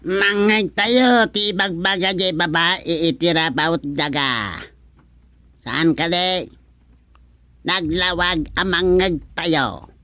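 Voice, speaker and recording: A solemn termite king passing judgment in a radio comedy. His voice is a little high, slow and measured, in a dry recording. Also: pushed and bright, no echo, heavily acted. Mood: attentive. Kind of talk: comedic radio drama acting.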